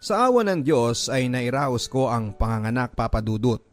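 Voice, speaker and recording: Male radio drama narrator, mid-pitched, emotive first-person letter reading, faint music bed. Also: big pitch swings. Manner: emotive, slowing on sad moments.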